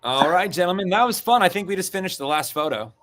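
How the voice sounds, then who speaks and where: A man's voice: high voice, expressive, fast, a bit bright, a little hiss or hum. A man in his late thirties, an easygoing co-host reading chat on a livestream. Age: 30s. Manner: easygoing, wraps up and reads chat aloud.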